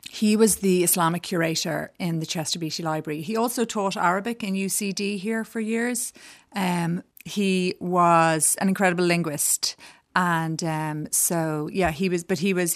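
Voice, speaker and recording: Woman, studio interview guest, slightly low pitch, hesitant, dry close sound. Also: normal speed, no echo. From Ireland. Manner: hesitant, many fillers and restarts. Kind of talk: radio interview, personal storytelling. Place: radio studio.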